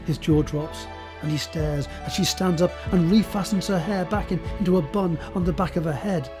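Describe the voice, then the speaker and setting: A man narrating an audio drama, reading scene descriptions and stage directions in a measured, descriptive way. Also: high voice, music in the background.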